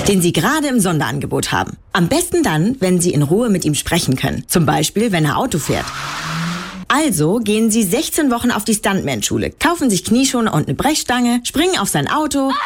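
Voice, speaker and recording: Woman telling a jokey ad story, lots of pitch swing, noisy track. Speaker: radio ad narrator. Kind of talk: scripted comedic ad narration.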